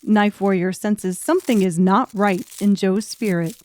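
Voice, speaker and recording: Woman narrating an audiobook, mid-pitched. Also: unhurried, a little mellow. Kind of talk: scripted narration.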